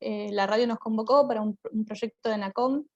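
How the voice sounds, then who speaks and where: Woman chatting on a panel, highish voice, reflective, keeps rephrasing stuff. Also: steady tone, a little fast, darkish tone, dull audio. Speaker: theatre group member. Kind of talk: conversational panel answers about creative work.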